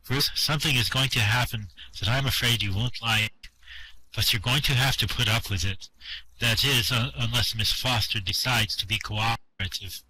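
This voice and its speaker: An actor playing a cool, sardonic male leader in an amateur radio play reading, measured and coldly reasonable. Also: medium pitch, pushed and bright, breathy edge, poor audio. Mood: coldly firm.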